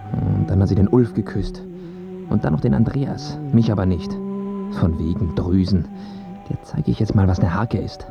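A man's voice: fairly low pitch, big pitch swings, moderate pace, gravelly, dull audio, over loud music. An actor in a radio drama playing a brash, vain male pop singer who boasts in slang and platitudes. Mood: cocky, spiteful.

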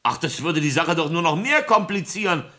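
A man's voice: a little high, expressive, normal speed, bright, forceful tone. An actor in a 1970s radio play playing a wary, indignant man cornered by blackmail, curt and defensive.

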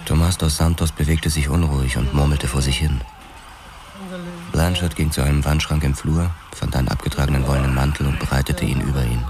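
A laconic policeman and former boxer in a noir radio play. His voice is extremely low, his delivery sober and guarded, with music playing beneath it. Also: steady tone, fairly quick. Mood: sober, reflective. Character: laconic male ex-boxer cop narrating his past. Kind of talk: scripted first-person crime radio drama narration.

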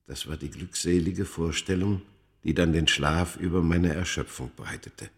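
A man's voice: deep voice, steady tone. An actor playing a tormented undying man who recounts his cursed past in grave, literary radio-drama narration. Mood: brooding. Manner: grave, deliberate, literary storytelling.

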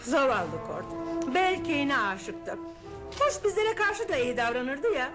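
A gossipy, fond middle-aged aunt in an old radio drama, her voice high and swooping all over as she chatters through her memories. Music plays faintly under the broadcast. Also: slow pace, exaggerated voice. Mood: reminiscing. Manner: lively, reminiscing, talkative. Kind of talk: 1970s Turkish radio drama acting.

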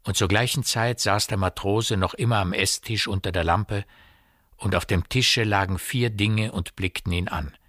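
A man delivers literary narration for a radio play. His voice sits low and is recorded dry, and he sets out each phrase with measured precision. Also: fairly bright tone, no echo.

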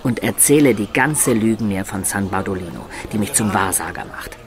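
A man's voice: on the low side, lively intonation, moderate pace, lightly acted. A man playing a roguish peasant-born adventurer who recounts his life colloquially in a German radio drama. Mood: vivid, amazed. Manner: colloquial, vivid storytelling.

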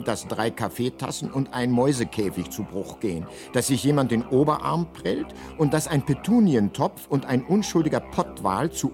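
A man narrating as a galactic guidebook in a comic radio play, mock-solemn and deadpan in his wording. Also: mid-range voice, close to an everyday voice.

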